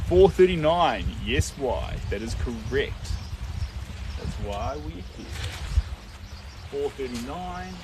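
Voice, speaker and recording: A man from New Zealand with a New Zealand accent, talking viewers through a painting as he streams live, relaxed and instructive. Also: medium pitch, distant mic, very noisy.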